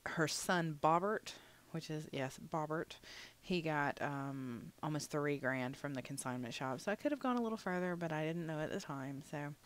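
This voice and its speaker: Low-voiced, chatty young woman. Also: moderate pace. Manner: rambling, chatty, many fillers. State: a little sniffly. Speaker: gaming channel host. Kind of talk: casual voiceover commentary on a building game.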